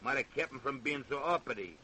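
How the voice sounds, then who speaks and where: An actor playing a servile but cruel plantation hand in a radio drama, folksy and eager, with a Southern American accent. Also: male voice, medium pitch, lively intonation, quick pace, bright, forceful tone, a little hiss or hum, rough recording. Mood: boastful, cruel. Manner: folksy dialect, eager, menacing relish. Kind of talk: old-time radio drama acting.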